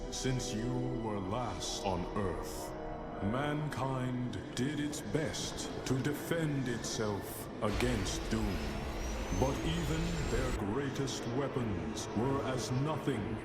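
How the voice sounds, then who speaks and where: Slow, solemn narrator of doom. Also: male voice, medium pitch, expressive. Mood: grave, portentous. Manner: grave, deliberate, portentous. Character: solemn male narrator recounting a world's doom. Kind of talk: dramatic game cutscene narration. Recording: echoey, under very loud music.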